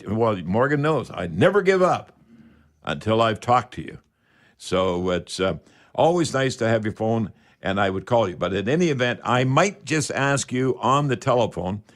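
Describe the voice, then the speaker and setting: A Canadian man hosting a home improvement radio show, talking in a folksy, emphatic way and repeating himself to drive points home. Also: mid-range voice. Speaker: radio call-in show host. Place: radio studio.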